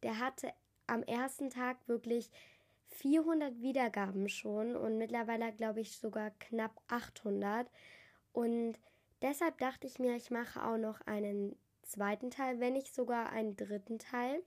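A woman's voice: fairly high pitch, steady tone, measured pace. Teenage girl with a stuffy cold voice. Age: teens. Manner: chatty and earnest, addressing listeners warmly. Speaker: podcast host. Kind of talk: casual solo podcast talk.

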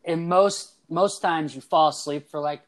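A young man in his twenties hosts a sports podcast. His voice sits a touch high, and he talks in a lively, teasing way, full of quick asides. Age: 20s. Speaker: podcast host. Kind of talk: casual sports podcast chat.

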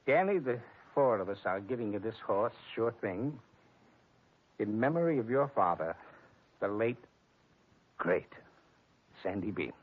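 An actor playing a dignified, sentimental gentleman in a radio drama, speaking in a measured and formal way. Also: on the low side, animated, husky. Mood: solemn. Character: solemn, dignified gentleman presenting a gift to a youth. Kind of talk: 1950s radio drama acting. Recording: tinny, band-limited 1950s radio recording.

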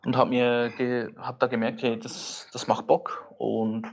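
A man's voice: medium pitch, little pitch movement, a little slow, gravelly. A man with a Swiss German accent, a guest on a podcast, talking hesitantly with many fillers. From Switzerland. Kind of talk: casual chat.

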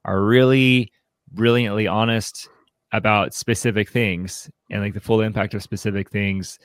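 Low-voiced man, halting and reflective. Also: steady tone, smooth, dry recording, studio quality. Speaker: podcast co-host leading a book commentary. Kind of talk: conversational book commentary.

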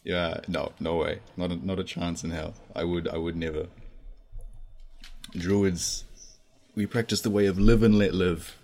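A man's voice: deep voice, steady tone, a little slow, a little mellow, slight background noise. Man playing a defensive tiefling druid.

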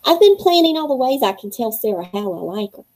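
A woman reading as a young boy vampire, husky. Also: fairly high pitch, big pitch swings, brisk, darkish tone. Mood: confiding. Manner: confiding first-person storybook reading. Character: eleven-year-old boy vampire keeping a diary.

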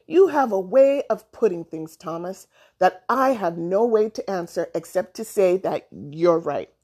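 Old storybook grandfather, matter-of-fact, mildly gruff, resigned to the child female reader. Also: lowish voice, animated, unhurried, mellow. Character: dry, gruff but yielding old grandfather.

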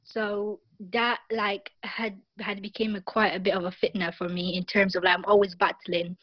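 A woman's voice: medium pitch, flat delivery, normal speed, no echo, muffled recording. A young woman from Barbados with a Barbadian accent, a guest talking casually in an interview, with many fillers and restarts.

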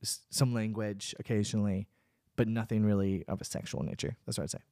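A man co-hosting a podcast, chatting casually with a cold that makes his voice deeper than usual, with many "like" fillers and restarts. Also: steady tone, brisk, mellow, no room sound, clean studio sound. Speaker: podcast co-host. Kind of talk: casual chat.

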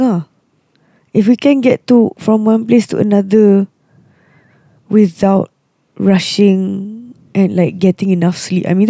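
A hoarse speaker with a Malaysian English accent talks casually, rambling and halting with many restarts. The voice is gravelly and very dark, and the close recording is completely dry. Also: medium pitch, no room sound. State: hoarse, losing voice and unwell. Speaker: touring musician and podcast co-host. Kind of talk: casual chat.

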